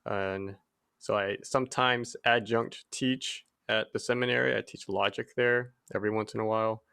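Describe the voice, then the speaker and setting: A man's voice: on the low side, normal speed, gravelly, smooth. A man from Texas, a pastor and teacher, chatting casually on a podcast with frequent ums. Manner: relaxed, hesitant with ums.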